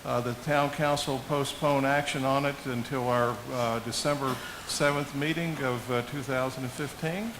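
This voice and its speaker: A man with a halting, deliberate delivery, formally running a town council meeting as mayor. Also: medium pitch, steady tone. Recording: hissy public meeting room microphone.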